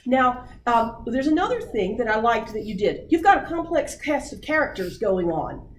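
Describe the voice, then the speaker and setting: A woman leading a writing workshop, coaching people on their book pitches with many fillers. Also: sing-song, normal speed, a little hiss or hum. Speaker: workshop presenter. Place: meeting room. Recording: roomy distant audience recording.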